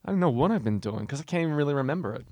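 Young man, twenties, rambling, self-mocking, middle pitch, dry close mic. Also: lively intonation, racing, dark tone, no echo. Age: 20s. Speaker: radio show host. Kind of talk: casual chat. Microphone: studio mic, moves back and forth from it.

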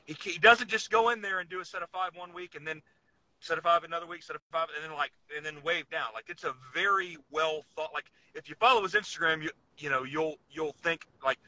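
Very bright, forceful male podcast co-host. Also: fairly high pitch, fairly quick, poor audio. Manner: earnest, emphatic, piles up intensifiers. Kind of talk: casual sports talk.

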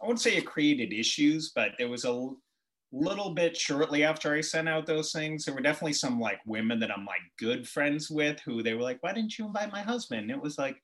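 An American man in his forties, an interview guest, telling personal stories in a casual, animated way and acting out bits of dialogue. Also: fairly high pitch, brisk. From Boston, United States. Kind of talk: casual conversational storytelling.

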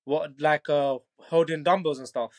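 Laid-back young man, slightly high voice. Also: steady tone, moderate pace, darkish tone. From Bangladesh. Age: 20s. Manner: laid-back, repeats "do you know what I mean", laughs easily. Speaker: Muay Thai fighter, guest. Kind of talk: casual interview chat.

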